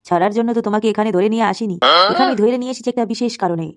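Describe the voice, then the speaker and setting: A woman's voice: on the high side, very fast, heavy background noise, close to an everyday voice. A woman voicing a gloating male gang boss. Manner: gloating, mocking, crude. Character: sneering, boastful male gang boss villain. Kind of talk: homemade cartoon voice acting. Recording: loud background music.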